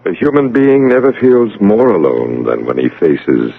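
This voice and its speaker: An actor playing a solemn, all-knowing guide from the afterlife in an old-time radio drama, with a measured, portentous delivery. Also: male voice, on the low side, sing-song, creaky, narrow, tinny audio, exaggerated voice. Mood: solemn, probing. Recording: band-limited radio drama recording.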